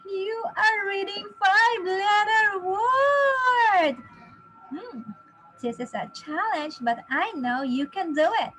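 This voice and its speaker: A woman in her thirties teaching a children's phonics lesson, encouraging, with exaggerated, deliberate enunciation for young learners. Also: very high voice, expressive, background noise. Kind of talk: children's phonics lesson, sounding out letters and words.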